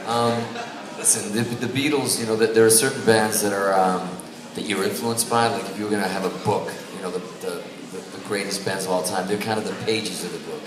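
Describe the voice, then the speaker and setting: A man's voice: lowish voice, steady tone, brisk, hardly any pauses, far from the mic. A man in a band answering fan questions at a panel, joking and rambling with restarts. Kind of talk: joking casual panel talk. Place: event hall on a cruise ship. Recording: echoey hall with loud crowd.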